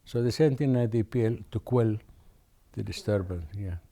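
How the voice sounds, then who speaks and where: An older man from Aden, Yemen, slowly recalling memories in an oral history interview, with many pauses and restarts. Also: lowish voice, mellow.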